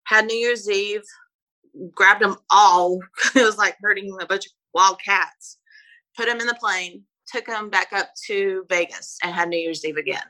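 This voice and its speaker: A woman's voice: medium pitch, unhurried, bright, forceful tone, rough recording. A Brazilian woman whose first language is Portuguese, a private flight attendant telling stories in a casual interview, hesitant with many fillers and restarts.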